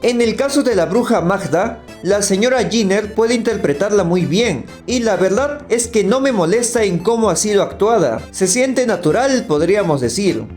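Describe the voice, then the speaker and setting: Male video critic, high voice, scripted opinionated review over faint music. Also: expressive, normal speed, few pauses, darkish tone.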